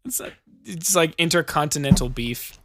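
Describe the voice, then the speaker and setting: An American man hosts a podcast in a chatty, storytelling way, swearing casually. His voice is bright and a little high, and the sound is dry and close to the mic. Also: expressive, normal speed, dry recording. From United States. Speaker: podcast host.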